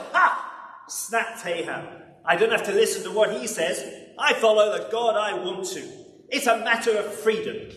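A man's voice: high-pitched, fairly bright tone. An older man preaching a sermon with dramatic storytelling in a church. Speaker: minister preaching. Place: church, at a lectern. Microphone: lapel microphone. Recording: echoey church, distant sound.

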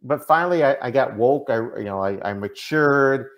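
A man's voice: medium pitch, moderate pace, dull audio. Middle-aged man explaining on a video call. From Minnesota, United States. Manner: earnest, often checks in with "right?". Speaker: interview guest, diversity consultant. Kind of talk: conversational interview answers. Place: indoor room, on a video call. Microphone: video call microphone.

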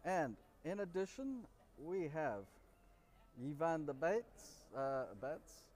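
A man's voice: fairly high pitch, animated, takes it slowly, darkish tone. A man in his forties co-hosting a casual chat in an outdoor bar courtyard, reading from a prompter and stumbling over names. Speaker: podcast co-host.

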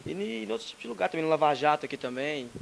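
A man's voice: on the high side, expressive, rapid-fire, vocal fry, a little hiss or hum. A teenage boy presenting his school project, hesitant, with casual filler words. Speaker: student presenter. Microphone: handheld mic.

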